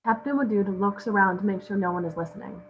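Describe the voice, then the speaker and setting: A woman with a mid-pitched voice reads out stage directions as narration for a script read-through. The recording is dull and lo-fi, with a little noise behind her. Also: fast talker. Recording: muffled, noisy lo-fi recording.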